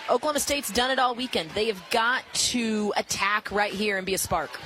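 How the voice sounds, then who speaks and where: A woman giving emphatic, coach-like analysis as a color commentator on a live softball broadcast. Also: mid-range voice, moderate pace, faint music behind. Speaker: color commentator, former pitcher. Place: outdoor ballpark during a live game. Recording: loud outdoor stadium ambience. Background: loud stadium ambience.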